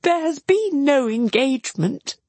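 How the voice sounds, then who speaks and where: A woman's voice: sits high, expressive, measured pace, darkish tone, no room sound, voice pushed up. A woman voicing a passionate, despairing young sister in an anguished, exclamatory way, as in a classic novel reading. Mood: distressed.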